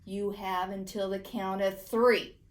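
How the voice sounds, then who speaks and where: A woman's voice: medium pitch, flat delivery, slow, lightly acted. A woman voicing a stern, exasperated babysitter in a picture book, scolding firmly and emphatically. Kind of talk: picture book read-aloud.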